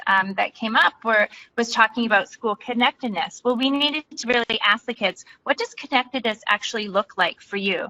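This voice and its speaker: A woman with a soft voice who works with a school district, giving steady, detailed answers in an interview. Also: medium pitch, poor audio. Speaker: school district staff guest.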